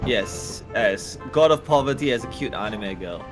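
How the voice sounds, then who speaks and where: Man, medium pitch, casual co-presenter chat, hesitant phrasing, music clearly audible behind. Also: expressive, moderate pace. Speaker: co-presenter working on the project.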